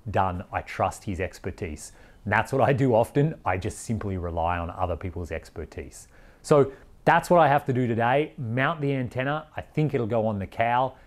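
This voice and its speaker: A man in his forties from Australia explaining things in a casual vlog, frank and plain-spoken. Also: lowish voice. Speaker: vlogger, overland traveller. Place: indoors in a workshop garage, and seated in a vehicle.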